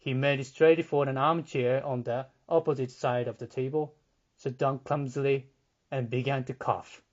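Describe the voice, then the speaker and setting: A male audiobook reader narrates literary fiction with dramatic care. His delivery is very slow and measured, at a medium pitch. The recording is a little muffled. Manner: measured, deliberate.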